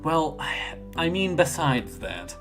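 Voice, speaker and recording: A man's voice: fairly high pitch, big pitch swings, slow pace, music in the background. Man playing an awkward, timid young train worker. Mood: sheepish. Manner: hesitant, self-deprecating.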